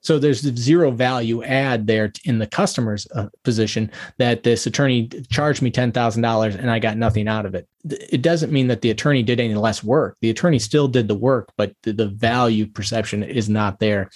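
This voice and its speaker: A man's voice: medium pitch, fairly quick, few pauses. Attorney guest, male, methodical and practical, asks question after question. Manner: methodical, lists questions one after another. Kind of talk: interview answers, advising.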